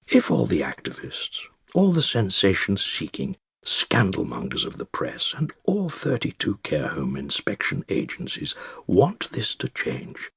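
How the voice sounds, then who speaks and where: An actor playing an elderly male care-home resident with a British accent, narrating his secret diary in a dry, wry, measured and articulate way with a gravelly, husky voice. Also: lowish voice, fairly bright tone, no echo, exaggerated voice. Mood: sardonic. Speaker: audiobook narrator. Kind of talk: scripted first-person diary narration.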